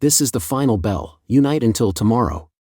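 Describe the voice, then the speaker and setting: A man narrating scripted news in a formal, measured, read-aloud manner. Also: mid-range voice, expressive, darkish tone, breathy edge, no echo, studio quality.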